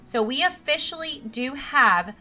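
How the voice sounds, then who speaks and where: A young woman with a naturally monotone voice, casually narrating her gameplay with plenty of ums and restarts. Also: high voice, measured pace, faint music behind. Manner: rambling, with many ums and restarts. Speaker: let's play host. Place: home computer setup. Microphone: desk mic replacing a broken headset.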